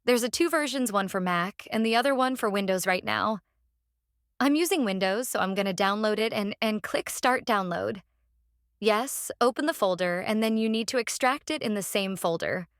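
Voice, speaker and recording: A woman hosting a casual step-by-step software tutorial, instructing plainly and often checking in with the listener. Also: mid-range voice, normal speed, clear, resonant tone, no echo, studio quality. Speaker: tutorial host.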